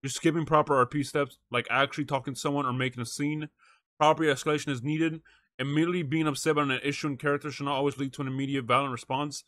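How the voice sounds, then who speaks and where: A man's voice: a little high, flat delivery, fast, a bit bright, no echo. A man streaming live, chatting casually and slangily with his viewers and reading things aloud into a close microphone. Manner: slangy, says bro and chat often. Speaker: live streamer reacting to a video and talking to chat.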